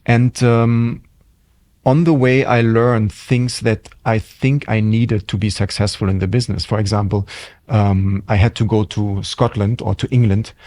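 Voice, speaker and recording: A man's voice: fairly low pitch, steady tone, unhurried, a little mellow, no echo. A middle-aged man with a German accent, a hotel general manager telling his career story in a podcast interview, reflective and full of ums and restarts.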